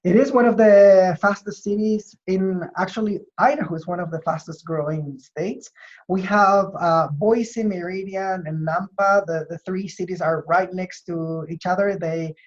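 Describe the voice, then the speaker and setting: A man from Chile with a Chilean accent explains his work in an interview, in measured, hesitant phrases with repeated words. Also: sits high. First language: Spanish. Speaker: university administrator being interviewed. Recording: dull, lo-fi call audio.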